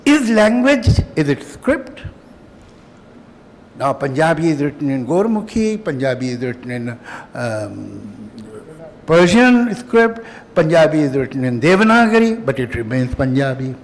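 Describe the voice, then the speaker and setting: A man's voice: on the high side, sing-song. Older man speaking in a reverberant hall. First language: Urdu. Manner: reflective, emphatic, with pauses and wry humor. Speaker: festival speaker. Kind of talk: public speech. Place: hotel hall.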